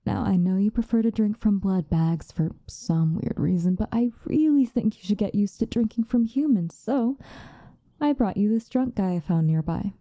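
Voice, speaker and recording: A woman playing a possessive vampire lover in an ASMR roleplay, soft and often whispering close to the microphone, coaxing with condescending affection and a hint of menace. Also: medium pitch, animated, normal speed, no room sound, lightly acted. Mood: teasing, coaxing.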